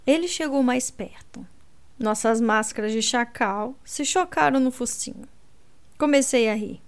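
A woman narrating a novel as an audiobook in a calm first-person reading. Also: a little high, a little slow, smooth. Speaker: audiobook reader.

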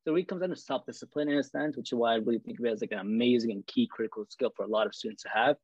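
A man's voice: mid-range voice, racing, darkish tone, breathy edge, no room sound, dull audio. Rambly young man, lots of "like". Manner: rambling, heavy use of "like". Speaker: student podcast co-host. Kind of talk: casual chat.

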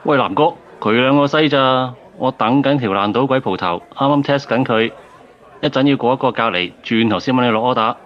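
An actor playing a cheeky, flippant self-styled uncle in a Cantonese radio drama, with a Hong Kong accent. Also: male voice, medium pitch, fairly quick, highs cut off, a faint crowd, lightly acted. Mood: casual. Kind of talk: radio drama acting, bar banter.

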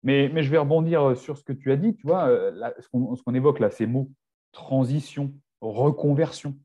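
Forties guy, fitness coach, chatty and halting, warm low-key voice, bit muffled. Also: breathy edge. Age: 40s. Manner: hesitant, many restarts and fillers. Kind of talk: casual podcast conversation.

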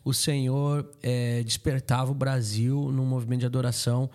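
A man's voice: mid-range voice, flat delivery, moderate pace, darkish tone. A man hosting a podcast, who greets listeners in a welcoming way and asks his guest open questions. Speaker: podcast host.

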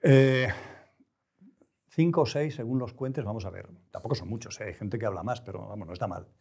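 A middle-aged man who is a writer, talking reflectively in an interview, choosing his words with pauses and restarts. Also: on the low side, gravelly, darkish tone, slightly rough. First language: Spanish. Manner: measured, searching for words, frequent restarts. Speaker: novelist, interview guest.

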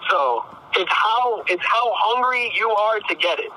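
High pitched guy on a call from a car, blunt slangy interview chat, swears, slight noise behind. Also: lively intonation, measured pace, bright, forceful tone, rough recording. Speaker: music artist, interview guest. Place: in a car while driving.

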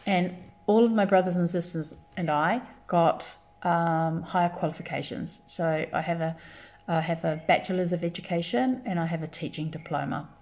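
Woman guest on a podcast, lowish voice, earnest and rambly, grew up in new zealand. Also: moderate pace, darkish tone. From born in London, grew up in New Zealand. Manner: earnest, storytelling, many fillers and restarts. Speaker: guest, community organizer. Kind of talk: conversational podcast interview.

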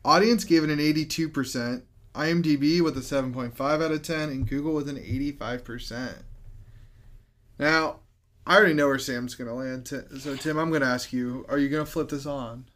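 A man's voice: medium pitch, fairly even intonation, brisk, a bit bright. Laid-back man reading out film credits. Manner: chatty, reads off film credits and scores, laughs often. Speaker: podcast co-host. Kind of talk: casual movie review chat.

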